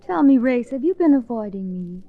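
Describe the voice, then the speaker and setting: A woman's voice: fairly high pitch, lively intonation, normal speed. Muffled old-radio poised society woman. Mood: flirtatious. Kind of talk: 1940s radio drama acting. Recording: muffled, noisy 1940s radio recording.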